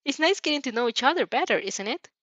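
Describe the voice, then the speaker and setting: A woman voicing a polite, modest teenage heroine with a demure, gently teasing manner in a story reading. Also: high voice, expressive, quick pace, no echo, muffled recording, voice pushed up. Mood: gentle. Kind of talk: fan fiction character reading.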